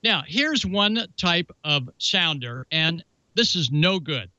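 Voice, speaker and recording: Bright, forceful man, chatty show host. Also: on the high side, lively intonation, takes it slowly, dry recording, muffled recording. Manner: folksy, chatty, playful asides. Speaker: ham radio show host. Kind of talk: casual hands-on how-to demonstration with announcements.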